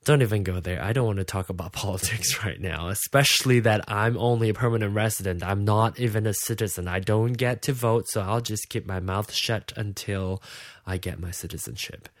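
A man's voice: on the low side, a little fast, dry recording, clean studio sound. A sleepy man with a Malaysian accent, a native Hokkien speaker, hosting a casual unscripted podcast in a hesitant, rambling way. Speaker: podcast host.

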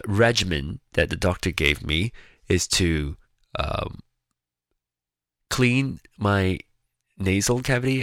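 A man chatting casually in a rambling personal monologue, sounding nasal and congested, with a slightly raspy, gravelly voice. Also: low voice, a bit bright, dry recording, studio quality. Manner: rambling, chatty, with frequent restarts. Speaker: vlogger.

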